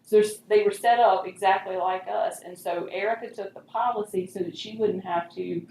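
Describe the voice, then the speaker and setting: A woman's voice: mid-range voice, fairly even intonation, moderate pace, gravelly, a little mellow. A woman on village staff, explaining things conversationally at an informal meeting. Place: meeting room. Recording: echoey meeting room, faint noise.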